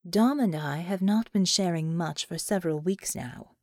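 A woman voicing a calculating female operative in an audiobook, speaking in a formal, measured and indifferent manner. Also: on the low side, a little mellow, dry recording, clean studio sound, voice pushed down, lightly acted. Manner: formal, measured, delicate phrasing.

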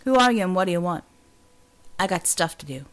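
A woman voicing a gruff, sardonic male loner in an audiobook, terse and folksy, guarded with strangers. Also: fairly low pitch, expressive, a little fast, a bit bright. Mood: wary, curt. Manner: terse, drawling, folksy, dropped words.

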